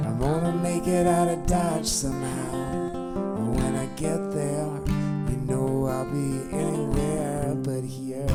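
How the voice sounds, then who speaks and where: A woman singing a bluesy song to guitar accompaniment. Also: low voice. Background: acoustic guitar.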